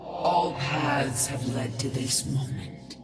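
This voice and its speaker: An actress playing an ascended godlike alien woman in a video game, solemn, resolute and measured, with an otherworldly effect on the voice. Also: extremely low pitch. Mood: solemn resolve. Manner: measured, grave, portentous. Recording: echoing, distant, loud music behind.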